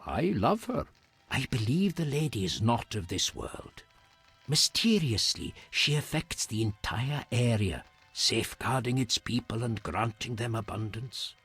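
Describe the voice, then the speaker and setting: An actor playing a solemn, reverent hermit in a fantasy video game, with formal, measured phrasing and a husky voice. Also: male voice, mid-range voice, sing-song, a bit bright, faint music behind, heavily acted. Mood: reverent, wistful.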